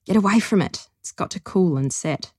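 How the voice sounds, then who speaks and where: A woman reading aloud as a gruff, smug older man who grumbles in a dry, stony way. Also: on the low side, expressive, normal speed, darkish tone, no room sound, voice pushed up. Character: gruff old bookish man, blunt and smug.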